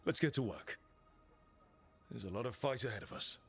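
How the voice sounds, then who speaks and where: A man's voice: medium pitch, normal speed, gravelly, husky. A man playing a resolute, plain-spoken heroic leader in a video game. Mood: determined. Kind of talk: video game dramatic acting. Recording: game music under the voice.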